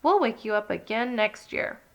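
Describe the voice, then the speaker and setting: A woman's voice: fairly high pitch, animated, normal speed, gravelly. A woman voicing a bossy, caring female rabbit in a children's storybook, exclaiming and encouraging in a cheerful way. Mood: cheerful, reassuring.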